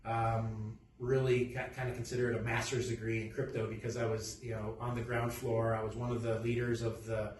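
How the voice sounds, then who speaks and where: A middle-aged man from Peru, a company CEO, introducing his background in a halting, casual way with many restarts. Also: medium pitch, flat delivery, darkish tone. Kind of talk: casual self-introduction talk. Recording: echoey room, distant mic, faint noise.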